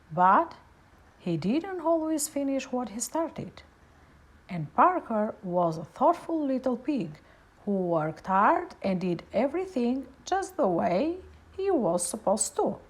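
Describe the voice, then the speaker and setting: A woman storyteller reading a picture book aloud to children in an expressive, deliberate way. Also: a little high.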